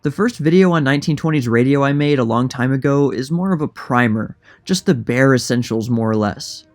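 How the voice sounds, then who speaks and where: A man's voice: medium pitch, expressive, brisk, soft background music. A man narrating a scripted history documentary in a plain, informative manner. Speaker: narrator and creator of a history channel.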